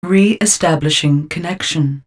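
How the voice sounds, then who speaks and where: A woman voicing a composed car computer giving flat, procedural status alerts in a video game. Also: sits low, a little slow, husky. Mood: neutral alert. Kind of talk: video game system announcements.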